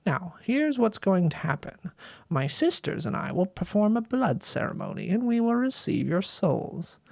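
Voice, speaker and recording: A man's voice: sits high, sing-song, normal speed, creaky, no room sound, clean studio sound, voice pushed up, exaggerated voice. A man voicing a sly, soul-eating old woman witch in a storybook reading, sweetly menacing and theatrical. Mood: gloating menace.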